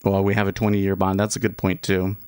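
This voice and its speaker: Man, thirties, livestream host, casual rambling talk, lowish voice, dry close sound. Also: monotone, fairly quick, a little mellow, smooth, dry recording. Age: 30s. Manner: rambling, with many restarts and asides. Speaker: livestream host, day trader.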